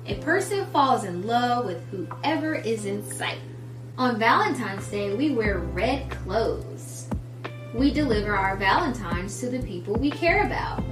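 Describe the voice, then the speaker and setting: Slow, higher-voiced woman reading to children. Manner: addresses young children directly, deliberate phrasing. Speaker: children's story time host. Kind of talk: children's picture book read-aloud. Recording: roomy, distant mic, music underneath. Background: music.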